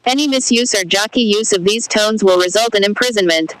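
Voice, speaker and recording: A brisk, official alert announcer played by a woman in an amateur emergency broadcast scenario. The delivery is formal and scripted, in a mid-range voice. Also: medium pitch, a bit bright, natural voice, poor audio.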